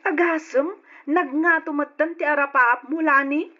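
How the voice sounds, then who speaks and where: A woman's voice: high-pitched, moderate pace, sharp tone. A woman playing a bossy, mocking young cousin, taunting and commanding in an old radio drama. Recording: dull lo-fi old radio recording.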